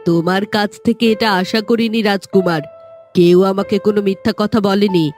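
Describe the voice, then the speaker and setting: A woman's voice: mid-range voice, steady tone, moderate pace, darkish tone, soft background music, lightly acted. A woman playing a stern, suspicious queen mother in a fairytale cartoon, speaking reproachfully. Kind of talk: Bengali fairytale cartoon acting.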